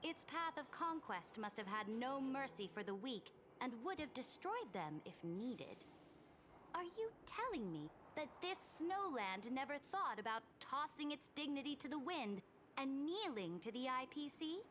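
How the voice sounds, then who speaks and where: An actress playing a proud, youthful empress in a video game, speaking in formal, haughty rhetoric about power and conquest. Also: female voice, high-pitched, very animated, unhurried, fairly bright tone, slight background noise, exaggerated voice. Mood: scornful, defiant. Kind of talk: scripted video game voice acting.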